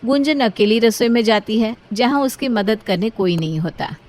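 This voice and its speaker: High-voiced woman storyteller. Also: expressive, moderate pace, a little mellow. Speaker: story narrator. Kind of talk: scripted storytelling narration.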